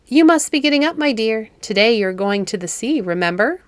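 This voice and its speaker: A woman's voice: fairly high pitch, animated, fairly quick, dry recording, close to an everyday voice. A woman voicing a kindly, homely children's nurse in a storybook, affectionate and fussing. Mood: brisk, affectionate.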